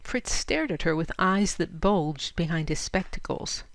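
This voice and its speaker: A woman reading a short story aloud as expressive, scripted narration. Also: fairly low pitch, a little slow, no room sound. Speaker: story reader.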